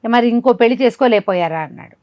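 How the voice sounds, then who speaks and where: A woman's voice: a little high, quick pace, no echo, lo-fi sound. Woman storyteller, close dry sound. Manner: conversational, asks rhetorical questions as she narrates. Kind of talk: informal spoken telling of a folk tale.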